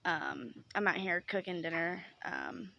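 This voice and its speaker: A woman's voice: on the low side, unhurried, gravelly, pushed and bright, a little hiss or hum. A young woman chatting casually in a vlog while sick and congested, talking outdoors by a grill. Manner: chatty, rambling with many ums. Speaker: vlogger.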